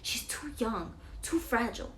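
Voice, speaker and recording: A woman's voice: on the high side, animated, measured pace, a bit bright, slight background noise, voice pushed up, poor audio. A woman voicing a worried, stern mother in a children's story, insistent and fretful.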